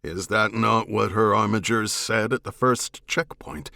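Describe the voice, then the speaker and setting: Audiobook role: insistent alien soldier played by a male narrator, low, blunt, formal, dry studio closeness. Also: a little slow, creaky, bright, forceful tone, no echo, exaggerated voice. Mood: pointed, suspicious. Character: blunt, seasoned alien warrior subordinate, insistent. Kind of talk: audiobook character dialogue.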